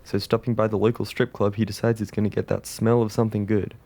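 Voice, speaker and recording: A man narrating a comic pitch in a deadpan, wordy, theatrical storytelling way. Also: quick pace.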